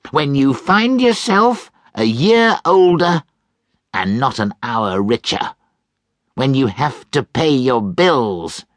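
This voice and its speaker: A man's voice: mid-range voice, bright, forceful tone, dry recording, clean studio sound, voice pushed up, heavily acted. A man voicing a cross, miserly old man in a storybook reading, snapping and scornful.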